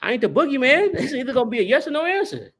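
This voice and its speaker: A man co-hosting a podcast in casual chat, telling stories with a voice that jumps up on punchlines. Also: very fast. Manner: animated storyteller, rising pitch on punchlines. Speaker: podcast co-host.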